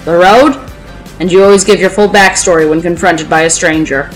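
A woman reading a comic audio play narration in a dry, deadpan way. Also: fairly low pitch, a little fast, faint music behind, low-quality recording.

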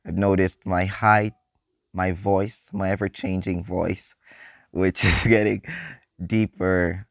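A man's voice: takes it slowly, gravelly, no room sound, dull audio. A seventeen-year-old young man, chatting in a faith vlog, happy and rambling with frequent restarts and laughs. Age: teens. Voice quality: voice newly deepened. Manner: excited, happy.

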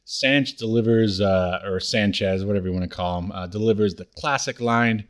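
A man's voice: fairly low pitch, moderate pace. A man co-hosting a podcast, casually recapping a show in a laid-back, hesitant way. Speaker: podcast co-host.

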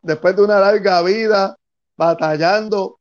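A man's voice: high voice, fairly even intonation, measured pace, lo-fi sound. A man hosting a podcast interview, asking questions and giving brief encouraging interjections.

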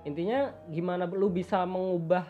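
A man from Java talking in a lively, slangy way as a podcast host. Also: high-pitched, moderate pace, narrow, tinny audio, soft background music. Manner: animated, chatty, slangy. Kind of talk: casual chat.

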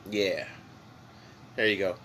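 Bright-voiced man with a Mexican accent. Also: low-pitched, slow pace, a little hiss or hum. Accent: Mexican Spanish. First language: Spanish. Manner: relaxed rambling, frequent fillers and restarts. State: high. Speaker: podcast co-host. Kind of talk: casual chat.

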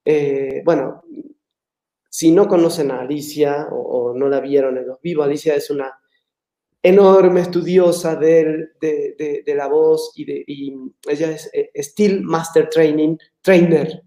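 A man's voice: fairly high pitch, fairly even intonation, unhurried, dark tone. A man hosting a casual live-stream talk, friendly and reading out viewer greetings. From Latin America, living in Mexico. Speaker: host of a live online talk. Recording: echoey room.

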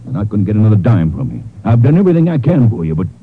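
A gruff middle-aged Texas rancher in a vintage radio drama. His husky voice has a drawl and swings widely as he scolds, blunt and folksy. The sound is muffled, narrow old broadcast. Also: lowish voice, wildly swooping pitch, rapid-fire, full character voice. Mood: angry, fed up. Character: gruff, fed-up older Texas rancher stepfather.

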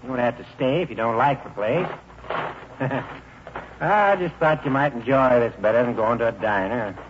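An actor playing a gruff but kindly older small-town station master, with folksy rural speech, in old-time radio drama style. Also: male voice, mid-range voice, normal speed, close to an everyday voice. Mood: coaxing. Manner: folksy rural speech, calls people son and ma'am. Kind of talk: 1940s-50s radio drama acting. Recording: muffled, very noisy old radio recording.

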